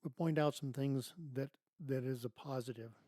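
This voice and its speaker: A man hosting his own show, delivering an emphatic political monologue full of rhetorical questions and restarts, his voice going hoarse. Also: medium pitch, fairly even intonation, moderate pace, dark tone, no echo, clean studio sound. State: losing his voice. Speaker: podcast host.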